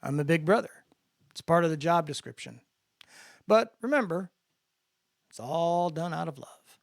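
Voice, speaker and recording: A man's voice: fairly high pitch, expressive, measured pace, gravelly, no echo, studio quality. A man voicing a protective, blunt and sardonic big brother in a dramatic audiobook. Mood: teasing. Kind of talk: dramatic audiobook character dialogue.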